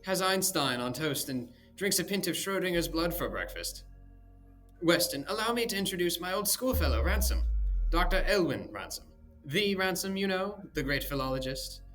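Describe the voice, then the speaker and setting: A man's voice: a little high, very animated, normal speed, a bit bright, faint music behind, pitched above the performer's own voice. A man voicing a slender, younger man with a sarcastic, mocking drawl.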